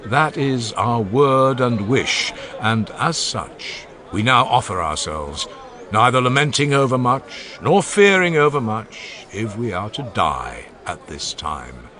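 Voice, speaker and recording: A man's voice: medium pitch, sing-song, slow pace, pushed and bright, exaggerated voice. A man voicing a grave, eloquent older male philosopher in a dramatized audiobook, delivering a solemn, declamatory oration. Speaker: Socrates.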